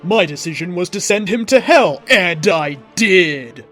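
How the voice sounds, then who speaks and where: A man with a slightly high voice reads a story aloud in a dramatic narrator's style. His delivery is deliberate and theatrical, and faint music plays behind him. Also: expressive, fairly bright tone. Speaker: host reading fan fiction aloud. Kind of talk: dramatic scripted story reading.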